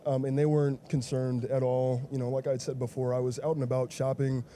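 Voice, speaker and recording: A man's voice: medium pitch, level tone, brisk, dark tone, slight background noise. An American man from Chicago answering casually as a tourist in a street interview. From United States, Chicago.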